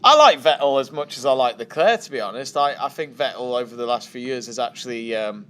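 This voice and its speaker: A man's voice: mid-range voice, animated, fairly quick, fairly bright tone, slight background noise. A man, a podcast guest giving joking, rambling opinions in casual chat.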